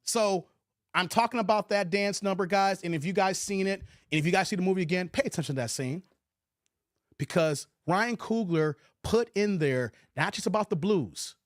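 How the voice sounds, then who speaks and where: A man with an American accent hosts his own commentary stream in a high-pitched voice. He makes his case emphatically, repeating points and throwing out rhetorical questions. The sound is dry and close, with no room echo. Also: moderate pace. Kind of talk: opinionated livestream commentary on a film.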